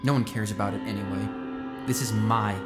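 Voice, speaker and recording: A man's voice: lowish voice, steady tone, fairly quick, over loud music, lightly acted. An actor playing a self-important, controlling male astronaut in an audio drama, insistent and defensive. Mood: dismissive, angry. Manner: insistent, dismissive.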